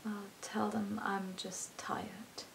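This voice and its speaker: A woman performing her own poem as a dramatic, earnest spoken-word recital, with expressive delivery. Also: medium pitch, slow pace, creaky, slight background noise, poor audio. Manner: expressive, earnest, swinging between hushed and intense delivery.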